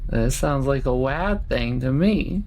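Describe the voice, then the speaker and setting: Male player, gravelly voice, faint hiss behind, rambling casual banter. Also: mid-range voice, lively intonation, slow, a little mellow. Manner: rambling, stumbling restarts. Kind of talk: casual tabletop game banter.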